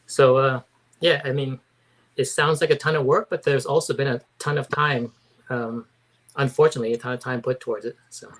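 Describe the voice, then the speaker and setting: Guest artist, man in his 50s, mid-pitched, hesitant, halting answers. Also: fairly even intonation, fairly bright tone. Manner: hesitant, many fillers and restarts. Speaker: comic book artist guest. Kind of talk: casual interview.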